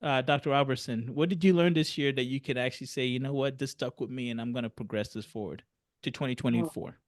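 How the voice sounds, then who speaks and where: A man hosting a podcast panel, animated and quick to laugh, repeating himself as he talks. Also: medium pitch, no echo, clean studio sound. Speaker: podcast host. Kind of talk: casual panel chat.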